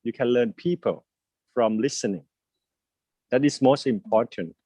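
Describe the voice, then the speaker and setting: Older Thai man with halting speech. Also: mid-range voice, mellow, no echo. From Thailand. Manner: halting, many fillers, gestures while talking. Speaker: host. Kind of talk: casual live video chat. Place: home, by a window with plants. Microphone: earphone mic on a video call.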